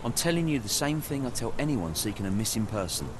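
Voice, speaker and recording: An actor playing a stolid, dismissive police sergeant in a video game, blunt and unmoved. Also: male voice, mid-range voice, sing-song, quick pace, background noise, natural voice. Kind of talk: video game cutscene acting.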